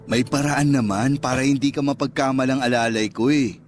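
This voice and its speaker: An actor playing a smooth-talking, indulgent restaurant owner courting his lover in a radio drama, casual and coaxing. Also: mid-range voice, fairly even intonation, fairly quick, lightly acted. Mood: flirtatious, playful. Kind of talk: Tagalog radio drama dialogue. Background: background music.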